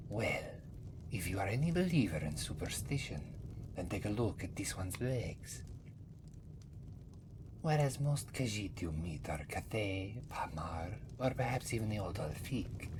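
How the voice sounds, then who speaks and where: Man voicing a deep, slow old cat-folk priest. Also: noisy background. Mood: wry, courteous. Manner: speaks of himself in third person, rambling, musing. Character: very old male cat-folk former moon priest, wry, courteous. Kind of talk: fantasy roleplay ASMR, intimate storytelling. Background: music.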